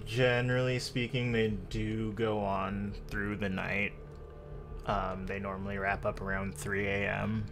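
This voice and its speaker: A man narrating a tabletop roleplay game as game master, descriptive and measured, with long pauses. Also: lowish voice, flat delivery, background noise. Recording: music bed under the voice. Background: music.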